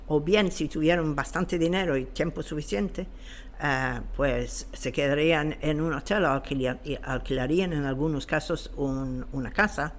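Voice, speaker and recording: A woman speaks slowly in speech, pausing to search for her words. She sounds like a knowledgeable guest explaining local history off the cuff, in a halting, unscripted way. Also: sits low, a little hiss or hum.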